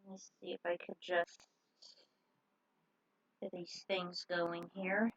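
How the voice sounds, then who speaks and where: A woman narrating her own story casually, pausing for halting, informal asides to viewers. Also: fairly low pitch, level tone, a bit bright, dull audio. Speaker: fan fiction author narrating own story.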